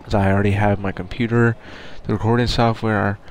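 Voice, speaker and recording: Young man, gravelly, nasal, under the weather and weary, quiet casual gaming commentary, noisy recording. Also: fairly low pitch, level tone, a little fast. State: sick and tired, speaking quietly. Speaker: gaming YouTuber. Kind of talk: casual gameplay voice-over commentary.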